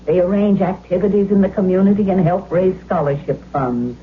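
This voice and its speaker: A woman's voice: on the low side, fairly quick. A woman reading a scripted, informational public service announcement. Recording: very dull radio recording with faint hum.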